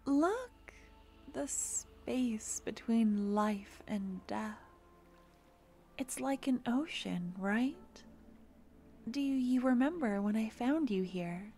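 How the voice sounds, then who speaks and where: A young woman voicing a doting, composed necromancer girlfriend in an ASMR fantasy roleplay, speaking softly and intimately, slipping into whispers close to the mic. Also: fairly high pitch, lively intonation, very slow, soft background music, full character voice. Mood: tender. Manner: soft, intimate, drifting in and out of whispers. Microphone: close ASMR microphone.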